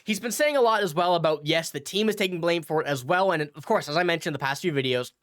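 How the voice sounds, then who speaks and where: A man's voice: a little high, fast talker, a bit bright. A man with a stuffy, nasal voice from a cold, hosting a casual hockey news commentary and rambling through his points. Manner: rambling, self-correcting, reads quotes aloud. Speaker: hockey news channel host.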